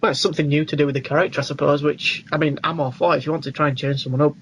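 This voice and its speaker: Higher-pitched British man, faint noise behind. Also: fairly even intonation, racing. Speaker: film podcast co-host. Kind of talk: casual chat.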